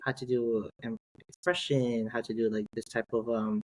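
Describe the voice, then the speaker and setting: A man's voice: medium pitch, level tone, crowd noise, rough recording. A man, a Tagalog speaker, chatting as a guest on a podcast with lots of fillers and restarts. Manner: halting, many fillers and restarts. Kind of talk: casual podcast chat.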